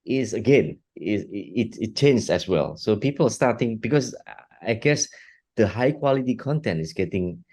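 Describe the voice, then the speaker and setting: A middle-aged man from Singapore, answering interview questions in a halting way, often restarting and repeating words. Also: on the low side, expressive, a little mellow. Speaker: guest, art technologist and educator.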